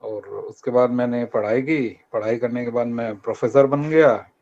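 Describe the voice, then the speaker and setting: A man's voice: mid-range voice, fairly even intonation, normal speed, gravelly, a little mellow. An older man from Rajasthan giving students an earnest motivational talk and asking them to answer back. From Rajasthan, India. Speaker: guest speaker at a college workshop. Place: online video meeting. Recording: dull lo-fi online call audio.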